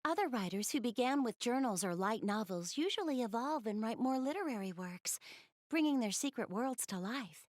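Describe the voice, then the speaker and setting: A woman's voice: fairly high pitch, expressive, moderate pace, no echo, clean studio sound, close to an everyday voice. A woman voicing a shy, bookish young goddess girl in a video game dub, speaking politely and earnestly with bashful hesitation. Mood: enthusiastic, earnest. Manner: hesitant, bashful, polite, rambling about hobbies. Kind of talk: English video game dub, visual novel dialogue.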